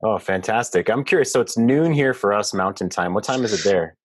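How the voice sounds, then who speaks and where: Man, host, casual chat, friendly short replies, low pitch, dry sound. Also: expressive, fairly quick, no room sound.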